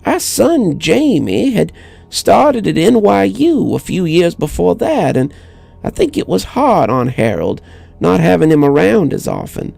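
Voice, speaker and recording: A frail old widow played by a male narrator speaks in a very creaky, soft-toned voice that rises and dips widely. She is lonely and grieving, reminiscing haltingly, as in an audio storybook. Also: high-pitched, big pitch swings, dry recording, soft background music, pitched above the performer's own voice, exaggerated voice. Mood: sad, reminiscing. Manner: halting, wistful delivery. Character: lonely grieving elderly widow, wistful neighbour.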